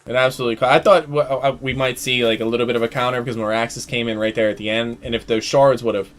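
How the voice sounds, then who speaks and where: A man giving live play-by-play commentary on an online team game, excitable and chatty, his voice jumping up during fights. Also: mid-range voice, quick pace. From United States. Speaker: esports play-by-play caster.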